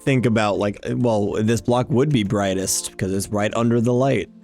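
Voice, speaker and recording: A man's voice: fairly low pitch, steady tone, normal speed, a little mellow. Chatty man narrating gameplay over music. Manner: chatty, enthusiastic, rambling. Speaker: video game YouTuber, host. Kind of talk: casual gameplay commentary. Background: background music.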